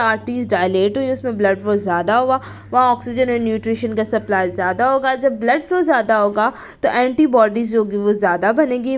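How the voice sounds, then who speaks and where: High-pitched woman teacher explaining a lesson. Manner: code-switches between Hindi and English terms; repeats and rephrases points, checking with "okay". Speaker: teacher of physiotherapy students. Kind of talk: explanatory lecture, reading out notes then explaining.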